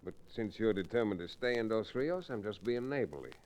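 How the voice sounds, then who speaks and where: A man's voice: on the low side, a little fast, lightly acted. A man playing a cool, wry frontier hero with a folksy drawl in an old-time Western radio drama. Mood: dryly confident. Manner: calm, dryly taunting, folksy.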